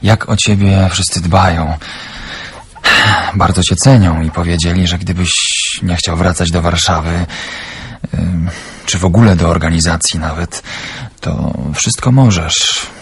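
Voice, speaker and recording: An actor playing a wry, cocky resistance comrade in a radio drama, with a husky, bantering, ironic delivery. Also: male voice, deep voice, steady tone, measured pace, exaggerated voice. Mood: earnest, teasing. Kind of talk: Polish radio drama acting.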